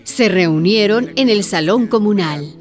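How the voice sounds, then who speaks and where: Radio drama narrator, female, mid-range voice, scripted storytelling over clear background music. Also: mid-range voice, expressive, a little slow.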